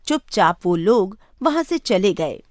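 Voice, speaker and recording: A woman's voice: medium pitch, sing-song, unhurried, darkish tone, no echo, studio quality. A woman narrating a scripted moral story as a storyteller.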